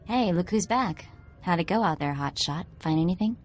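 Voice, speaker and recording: A woman's voice: on the low side, expressive, natural voice. A woman playing a spunky young tech slicer. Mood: perky. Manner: quick, chatty, teasing. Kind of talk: video game dialogue. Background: faint game music.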